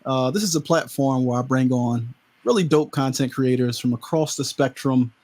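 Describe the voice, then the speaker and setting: A man's voice: medium pitch, moderate pace. A man hosting a casual interview, talking easily with many fillers and restarts. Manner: relaxed, lots of fillers and restarts. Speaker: host.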